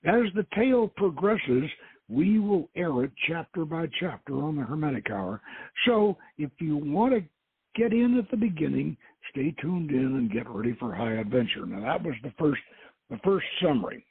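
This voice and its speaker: Raspy man hosting over a tinny line. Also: a little high, big pitch swings, normal speed. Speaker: radio show host and author. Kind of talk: radio introduction, reading aloud with off-the-cuff asides. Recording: tinny phone-like line with echo.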